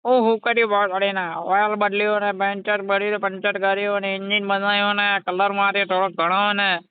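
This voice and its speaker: A man's voice: sits high, little pitch movement, a little fast, smooth, dry recording, lightly acted. A man playing an exasperated, foul-mouthed garage mechanic, scolding impatiently in a scripted comedy phone call. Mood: testy. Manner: scolding, impatient, swearing constantly. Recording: dull lo-fi phone call recording.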